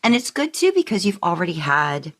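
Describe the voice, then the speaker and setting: A woman podcast host in casual conversation, telling rambling, animated stories. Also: lowish voice, fairly quick. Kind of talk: casual chat.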